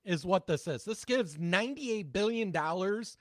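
A man co-hosting a political talk podcast in a high, fairly light voice. He speaks bluntly and mocks what he hears, swearing freely. The recording is dry and close. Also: animated, normal speed, fairly bright tone, no room sound. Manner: blunt, profane, sarcastic commentary. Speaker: podcast co-host. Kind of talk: casual political chat.

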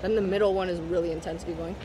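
A woman in casual chat, explaining things loosely and a bit haltingly. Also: on the low side, steady tone. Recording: outdoor crowd and street noise.

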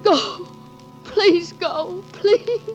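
A woman's voice: very high voice, drawn-out words, lo-fi sound, heavily acted. An actress playing an earnest young noblewoman in a pleading, melodramatic 1940s radio drama style. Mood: distressed. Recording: 1940s radio broadcast with music behind.

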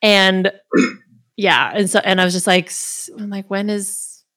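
A woman's voice: mid-range voice, fairly even intonation, measured pace, fairly bright tone. A woman with an American accent, hosting a casual conversational interview and sharing her own anecdotes. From United States, Ohio. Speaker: interview host.

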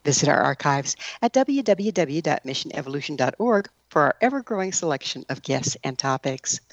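A woman's voice: sits low, big pitch swings, a little fast, no echo, lo-fi sound. A woman hosting a broadcast interview show and making show announcements. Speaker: radio show host.

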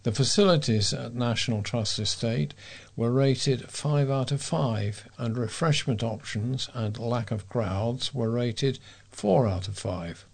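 A man's voice: mid-range voice, takes it slowly, gravelly, a little mellow. Man reading local news. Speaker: volunteer newsreader.

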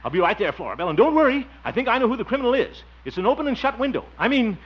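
A man's voice: extremely high pitch, very animated, racing, slightly rough, full character voice. An American man playing a trusting, easily flustered small-town marshal in a 1950s radio comedy, with a theatrical, chuckling, sputtering delivery. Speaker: lead comic actor. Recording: muffled, noisy old radio recording.

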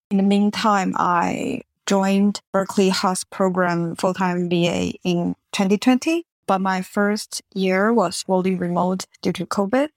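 A woman's voice: lowish voice, dry recording. Woman, earnest guest. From Taiwan. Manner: earnest, explanatory, slightly halting phrasing. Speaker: podcast guest. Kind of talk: interview answers. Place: remote call.

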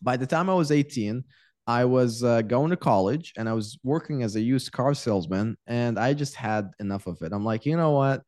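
A man's voice: medium pitch, moderate pace, darkish tone, no echo, studio quality. A man in his twenties, raised in Iraq, telling his life story candidly as a podcast guest and often repeating words as he thinks. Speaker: podcast guest, real estate investor. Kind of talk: casual interview storytelling.

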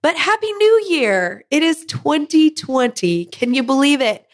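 A woman in her thirties hosting a podcast with her husband, her voice hoarse from a head cold, chatting casually and laughing now and then. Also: high voice, big pitch swings, a little slow. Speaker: podcast host.

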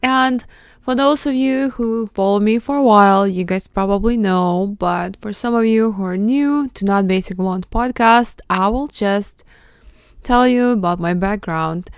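A woman's voice: medium pitch, measured pace, smooth, dry recording, clean studio sound. A woman from Ukraine whose first language is Russian, hosting her podcast in an earnest, heartfelt and thankful way. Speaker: podcast host. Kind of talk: solo podcast intro.

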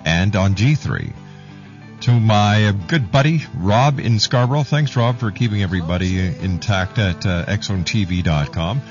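A man hosting a radio show, signing off in an expressive, heartfelt way. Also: lowish voice, moderate pace, muffled recording, soft background music. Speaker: radio host. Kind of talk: show sign-off.

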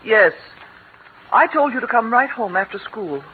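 An actress playing a stern, curt housekeeper scolding a child in a 1940s radio drama. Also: female voice, fairly high pitch, expressive, normal speed, slight background noise, lightly acted. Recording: tinny old radio broadcast.

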